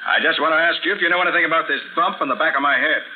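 An actor playing a commanding private detective, brisk and authoritative, in the style of 1940s radio drama. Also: male voice, a little high, steady tone, phone-quality sound, natural voice. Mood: tough, accusing. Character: hard-nosed, commanding male private detective. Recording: noisy, band-limited 1940s radio recording.

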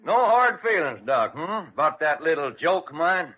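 A man's voice: on the high side, big pitch swings, moderate pace. An actor playing a swaggering, prankster Wild West showman with an American Western drawl, in 1940s radio style. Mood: hearty, teasing. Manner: folksy, cocky. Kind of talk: 1940s radio comedy-drama acting. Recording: muffled old radio recording.

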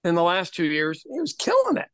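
A middle-aged man hosting a podcast in casual conversation, telling stories with lively energy and acting out the voices of people he quotes. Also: high-pitched, a little fast, no echo, clean studio sound. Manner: animated storyteller, acts out quoted voices. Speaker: podcast host.

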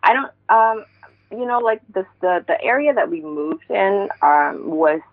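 A woman's voice: mid-range voice, animated, unhurried. A woman from Indonesia speaks as a guest in an interview. She talks reflectively, with many fillers and frequent laughs. Her voice comes through a tinny, phone-like line. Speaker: poet, guest. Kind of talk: casual interview talk.

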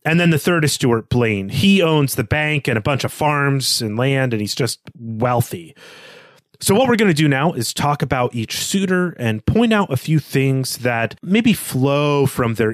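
A man's voice: mid-range voice, no room sound, studio quality. A man co-hosting a literary discussion, explaining in an organized way and signposting each point as he goes. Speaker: podcast co-host.

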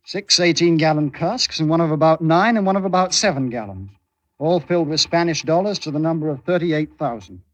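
Man playing a meek, high-voiced young cabin boy. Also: a little fast, no echo, natural voice, rough recording. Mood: dutiful. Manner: deferential, obedient. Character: meek, fidgety sixteen-year-old cabin boy. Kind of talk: old-time radio drama acting.

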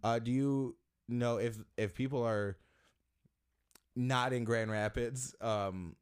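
A man's voice: mid-range voice, steady tone, takes it slowly, clear, resonant tone. A man hosting a casual podcast interview, joking and rambling with his guest in a self-deprecating way. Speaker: podcast host.

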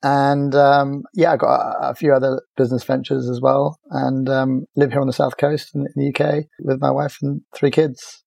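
A middle-aged man, chatting as a podcast guest in a relaxed, halting way full of restarts and fillers. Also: medium pitch, vocal fry, no echo. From Ireland. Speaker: podcast guest, agency founder. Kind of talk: casual interview chat.

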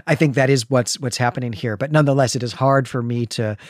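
A man's voice: medium pitch, steady tone, quick pace, no room sound. Articulate man hosting, dry close sound. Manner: articulate, explanatory, tags points with "right?". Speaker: podcast host. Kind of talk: literary discussion and story recap.

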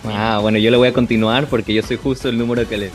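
A man's voice: medium pitch, little pitch movement, fast, soft background music. A man with an Ecuadorian accent chatting casually as a podcast guest. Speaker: reality show contestant as podcast guest. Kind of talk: casual chat.

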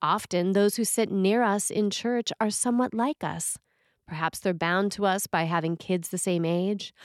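A woman priest reading a written sermon aloud in a measured, earnest way. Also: mid-range voice, a little mellow, no echo, clean studio sound. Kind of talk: read sermon.

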